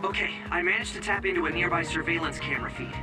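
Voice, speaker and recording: An actor playing an earnest young mission support operator in an anime-style video game, focused and informative. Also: male voice, fairly high pitch, fast, over music, natural voice.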